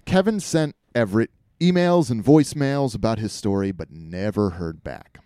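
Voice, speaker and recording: A man's voice: on the low side, moderate pace, dark tone, clean tone, no echo, clean studio sound. A man hosting a podcast, telling a comedic story in a wry, irreverent way. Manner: wry, irreverent, swears casually.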